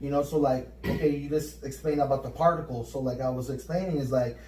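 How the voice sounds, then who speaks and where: A Puerto Rican man co-hosting a casual spiritual chat, energetic and repeating words as he gets going. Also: medium pitch, fast talker, a little hiss or hum. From Puerto Rico. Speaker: podcast co-host. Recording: roomy echo, mic at a distance.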